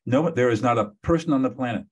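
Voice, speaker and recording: Husky-voiced man in his seventies. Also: mid-range voice, quick pace. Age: 70s. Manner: warm, emphatic, encouraging. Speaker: podcast host. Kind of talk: interview conversation.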